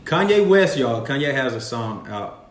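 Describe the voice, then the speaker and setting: Podcast host, male, playful and chatty, lively pitch, echoey room with a faint hiss. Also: moderate pace. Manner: playful, talks to listeners as y'all. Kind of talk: casual podcast chat.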